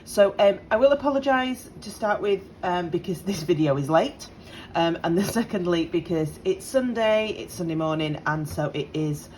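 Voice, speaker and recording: Woman, late 30s, from the UK, a bit croaky; lowish, casual, apologetic home vlog delivery, faint appliance noise. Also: expressive, normal speed, a little mellow, rough recording. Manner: chatty, apologetic, many ums and restarts. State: slightly croaky. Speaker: budgeting vlogger. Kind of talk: casual direct-to-camera update. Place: home on a Sunday morning. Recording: faint appliance hum behind. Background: dishwasher and washing machine running.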